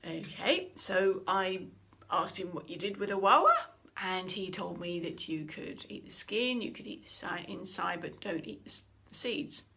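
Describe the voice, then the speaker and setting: A middle-aged woman, a communication trainer, giving an instructional talk and telling a story with lively, acted-out quotes. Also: lowish voice, moderate pace, creaky. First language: English. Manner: animated storytelling, acting out quoted speech.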